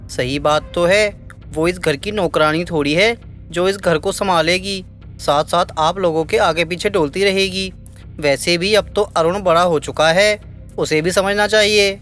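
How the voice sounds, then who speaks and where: A man voicing a blunt, resentful grown son in an animated family drama. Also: high-pitched, moderate pace, faint music behind.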